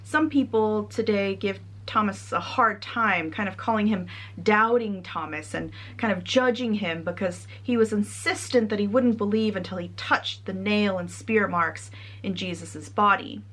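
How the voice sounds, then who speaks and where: A young woman with a Connecticut American accent, a trainee priest giving a measured, earnest sermon. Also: mid-range voice, fairly bright tone. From United States.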